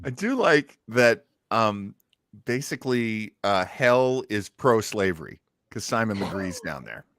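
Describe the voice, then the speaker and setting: Male podcast host, casual wisecracking commentary, forward bright tone, dry close sound. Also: fairly low pitch, big pitch swings, unhurried, no room sound. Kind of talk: casual chat commentary on a radio play.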